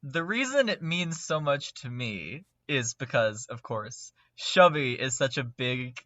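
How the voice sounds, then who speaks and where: A man's voice: medium pitch, expressive, a little slow, pushed and bright, no echo, highs cut off. A man chatting casually and enthusiastically as a panelist in a fan discussion. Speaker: podcast panelist.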